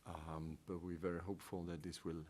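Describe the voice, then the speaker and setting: A middle-aged man with a French-speaking Belgian accent, a trade negotiator giving halting panel remarks full of ums and restarts. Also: deep voice, little pitch movement, a little mellow.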